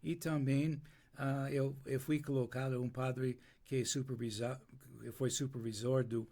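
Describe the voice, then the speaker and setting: An older man whose first language is English, speaking Portuguese with an American accent in an interview, telling his story slowly with many restarts and pauses while he searches for words. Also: medium pitch, little pitch movement, mellow. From United States. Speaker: interview guest.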